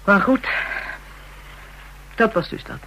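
A woman's voice: slow, pushed and bright, slight background noise, low-quality recording. A woman playing a bitter, hard-bitten young call girl in a radio drama, coarse and defiant.

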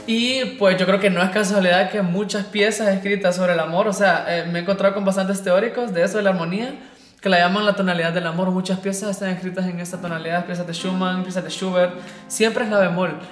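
A woman's voice: on the low side, steady tone, fast talker. A woman talking casually and reflectively about her own experience. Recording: roomy echo with music underneath. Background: music.

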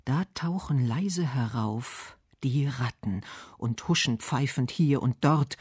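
A man reading literary narration and verse for a radio essay with measured, deliberate phrasing. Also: medium pitch, darkish tone, husky, no echo, clean studio sound.

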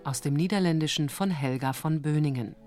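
A woman's voice: low-pitched, a little fast. A woman reading a scripted title and credits announcement for a radio play. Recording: loud string music behind voice.

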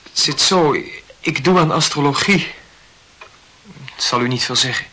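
Bright-voiced, awkward young student. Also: male voice, fairly high pitch, measured pace, husky, full character voice. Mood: awkward, confessional. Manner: hesitant, over-explaining, formally polite. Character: earnest, awkward, talkative young male medical student. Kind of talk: radio play dialogue.

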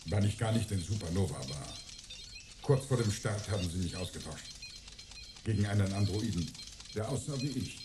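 A man's voice: on the low side, lively intonation, normal speed, long pauses, close to an everyday voice. An actor playing a cowardly, wheedling male saboteur in a German sci-fi crime radio drama, evasive and pleading in manner. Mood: confessing. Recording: echoey, noisy radio play scene.

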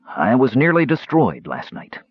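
A man's voice: medium pitch, wildly swooping pitch, unhurried, husky, dry recording, muffled recording, voice pushed up, full character voice. A man voicing a frail, bitter mage with a cold, sarcastic, demanding manner in a fantasy audiobook. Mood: weary, grave. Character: frail, bitter, sharp-tongued male mage.

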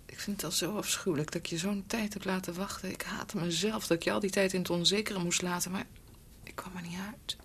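An actress playing a gentle young woman in a Dutch radio drama, speaking earnestly and emotionally, often breathy. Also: on the low side, fairly even intonation, brisk, few pauses, a little hiss or hum. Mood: anguished, apologetic. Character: gentle young woman, devoted niece of a doctor.

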